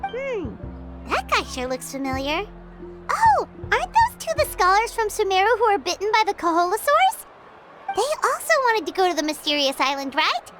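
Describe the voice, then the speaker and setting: Squeaky, excitable little fairy companion. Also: female voice, normal speed, loud music behind the voice. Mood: musing. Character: tiny childlike chattery fairy companion, female, speaks in third person. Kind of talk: video game voice acting.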